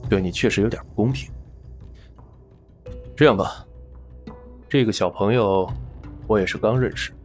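Guy playing casino boss, lowish voice, suave n teasing w menace, audio drama with music under it. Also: moderate pace, long pauses, close to an everyday voice. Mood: falsely genial. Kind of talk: Mandarin audio drama acting.

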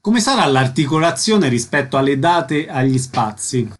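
A man's voice: medium pitch, lively intonation, moderate pace. A man hosting a radio interview, asking brief, direct questions.

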